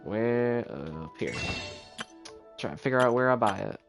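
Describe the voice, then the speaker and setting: A man's voice: mid-range voice, lively intonation, unhurried, vocal fry. A man casually commentating as he streams a game, chatting and muttering to himself. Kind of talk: casual live gameplay commentary. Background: video game music.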